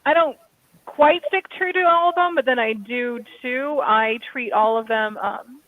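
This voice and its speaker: A woman's voice: a little high, unhurried, narrow, tinny audio. A woman from Kentucky with an American accent, chatting and explaining casually as an author on a discussion panel. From Kentucky, USA.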